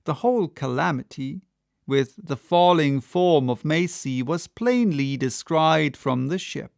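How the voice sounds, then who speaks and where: A man reading classic literature as an audiobook narrator, with measured, dramatic storytelling. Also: on the high side, lively intonation, creaky, dry recording, studio quality.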